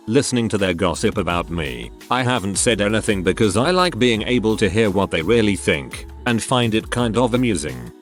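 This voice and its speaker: Guy reading reddit-style stories, lowish voice that bounces around a lot, soft music under it. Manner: lively, expressive reading of first-person stories. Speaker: narrator reading online stories. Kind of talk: scripted narration.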